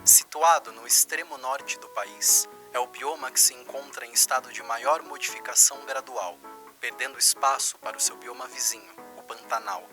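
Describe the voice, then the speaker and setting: A man's voice: medium pitch, natural voice. A man playing an earnest science program narrator, formal and explanatory, in a mock documentary. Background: music.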